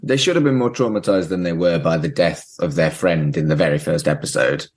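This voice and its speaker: A man's voice: low-pitched, fairly quick, a little mellow. A man in his forties hosting a casual podcast, talking in an emphatic, sweary way. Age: 40s. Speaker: podcast host.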